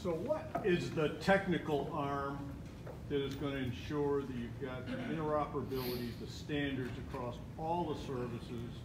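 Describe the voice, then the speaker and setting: A man in the audience asking a question from the floor, deliberate and halting. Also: medium pitch. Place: large hotel ballroom. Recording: echoey hall with loud background noise, far off.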